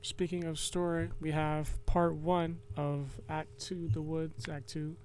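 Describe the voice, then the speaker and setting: A man with a fairly high, even-toned voice narrates a devlog in a casual, hesitant way. He rambles a little as he explains, with little melodic movement. A faint hum sits behind the recording. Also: takes it slowly. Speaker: game developer and video host.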